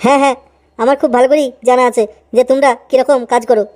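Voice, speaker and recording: Guy doing a bossy cartoon police boss, high voice, talks fast, scolds. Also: steady tone, darkish tone. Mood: scolding. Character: stern, sceptical male senior detective in a cartoon. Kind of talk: amateur comedy cartoon dub.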